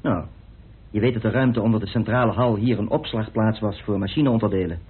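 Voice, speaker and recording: A man's voice: on the low side, brisk, breathy edge. An actor, arguing his case in a dry, assertive way. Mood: assertive, explaining. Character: self-assured man coolly arguing his theory of a murder. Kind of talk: radio play acting. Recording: muffled phone-like old radio recording.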